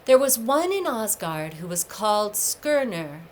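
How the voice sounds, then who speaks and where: Slow-paced woman reading a storybook aloud. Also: medium pitch, big pitch swings, slight background noise. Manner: measured, deliberate reading with emphatic pauses. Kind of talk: scripted storybook narration of a myth.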